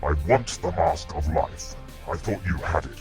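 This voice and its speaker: A man playing a cruel, scornful undersea warlord creature with a cold, formal, menacing way of talking, in an animated fan dub. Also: extremely low pitch, moderate pace, soft background music.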